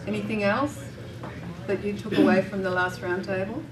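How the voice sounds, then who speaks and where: A woman's voice: mid-range voice, steady tone, normal speed. A woman moderating a casual roundtable, prompting the group with friendly questions. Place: meeting room roundtable. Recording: echoey room from far off, background noise.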